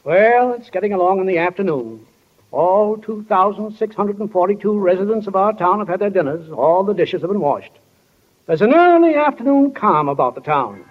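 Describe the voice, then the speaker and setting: An actor playing a homely, unhurried stage-manager narrator in a 1940s radio drama. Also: male voice, high-pitched, lively intonation. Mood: genial, matter-of-fact. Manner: folksy, matter-of-fact storytelling. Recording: dull, noisy 1940s radio recording.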